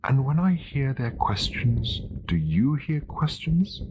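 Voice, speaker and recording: A man with a British accent voicing a gentle, vague, doubting storybook recluse, slow and wondering, sometimes near a whisper. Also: medium pitch, big pitch swings, voice pushed up. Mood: hesitant wondering. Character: gentle, vague, doubting solitary man musing to his cat. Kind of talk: storybook character voice. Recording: noisy old tape recording.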